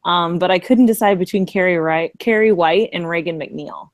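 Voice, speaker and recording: A woman's voice: lowish voice, moderate pace, no room sound. Casual woman, close dry sound. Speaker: podcast co-host. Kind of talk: casual chat.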